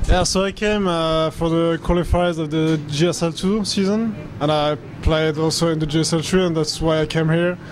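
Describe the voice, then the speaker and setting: A man's voice: on the high side, fairly even intonation, noisy background. A young man, a guest gamer, speaking hesitantly into a handheld mic. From France. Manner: hesitant, halting.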